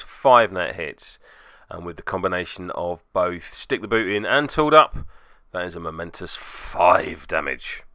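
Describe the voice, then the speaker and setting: A man's voice: lowish voice, pushed and bright, clear, resonant tone, dry recording, clean studio sound. A man giving wry, self-deprecating voice-over commentary on a tabletop game, with joking asides, as its host.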